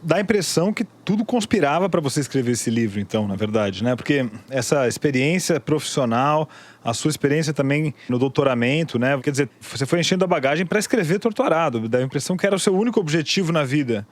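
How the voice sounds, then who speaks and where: A man's voice: on the high side, expressive, fast. A man hosting a book podcast, asking engaged, inquisitive interview questions.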